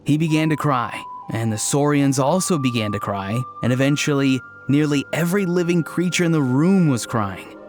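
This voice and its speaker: An actor playing an earnest, kindly young man in a video game, telling whimsical tales in a gentle, matter-of-fact way. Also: a little high, moderate pace, few pauses, soft background music, lightly acted.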